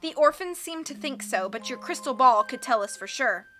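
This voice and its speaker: A vain storybook villainess acted by a woman reader, petulant and demanding. Her voice is high and sharp, with faint music underneath. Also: lively intonation, moderate pace. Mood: haughty, petulant.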